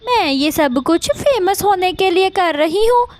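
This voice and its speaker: A woman's voice: very high-pitched, expressive, unhurried, a little mellow, dry recording, pitched above the performer's own voice. A woman voicing a vain, scheming female crow in a cartoon, bossy and showy. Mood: sly, boastful. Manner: showy, self-important, bossy. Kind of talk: Hindi cartoon character acting.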